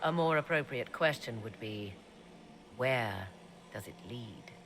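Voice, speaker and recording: Theatrical, low creaky-voiced sorceress. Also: female voice, lively intonation, fairly bright tone, slight background noise, full character voice. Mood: enigmatic. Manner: theatrical, deliberate, archaic phrasing. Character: cryptic, self-assured sorceress, adult woman with arcane knowledge. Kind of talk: dramatic fantasy video game dialogue.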